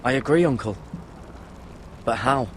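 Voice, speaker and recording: A man's voice: medium pitch, lively intonation, a little slow, close to an everyday voice. Guy playing earnest young fantasy watchman, mid voice, dutiful, asks a lot, slight noise behind. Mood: earnest, questioning. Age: young adult. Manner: earnest, questioning. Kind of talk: dramatic fantasy game acting.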